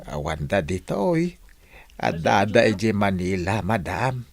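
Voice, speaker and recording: A humble old Ilocano widower, talks slowly and haltingly. His pitch wavers up and down as he speaks politely. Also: animated, creaky. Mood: humble, sorrowful. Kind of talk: radio drama acting.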